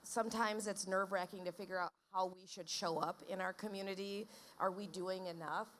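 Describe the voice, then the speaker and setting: A woman with a mid-range voice on a discussion panel. She speaks thoughtfully and in a measured way. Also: medium pitch, steady tone, fairly bright tone, a little hiss or hum.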